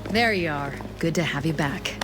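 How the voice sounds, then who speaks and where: A woman's voice: sits low, moderate pace, over music, natural voice. A woman playing a decisive, dryly spoken agent in charge, acting in a video game cutscene. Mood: welcoming. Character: brisk, commanding female agent in charge.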